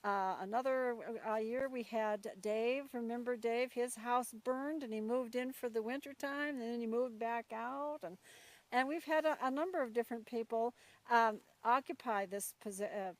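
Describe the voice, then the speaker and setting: A woman's voice: a little high, no echo. A woman hosting a casual, chatty interview in an informal, folksy and encouraging manner. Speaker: host introducing a new ranch worker.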